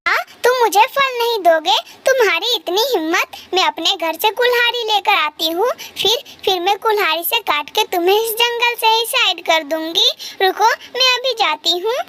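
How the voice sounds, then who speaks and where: A very high, piercing female crow acted by a woman from a children's cartoon. She is greedy and bullying, pushing her demands with repeated phrases and threats in a bright, sharp tone. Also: moderate pace, few pauses. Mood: threatening. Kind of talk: children's cartoon story acting.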